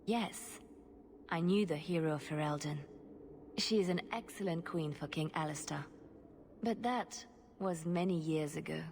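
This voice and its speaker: A secret agent of a holy order in a fantasy game. Her voice sits fairly low for a woman, composed and grave. She speaks with deliberate care over faint background noise. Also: darkish tone. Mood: calm, reflective. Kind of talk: fantasy video game acting.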